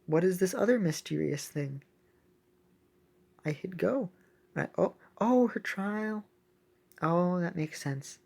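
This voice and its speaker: A woman giving casual, excitable gameplay commentary as a video host, thinking aloud as she plays. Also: lowish voice, slow, gravelly, pauses often. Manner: excitable, exclaiming often; thinks aloud while playing.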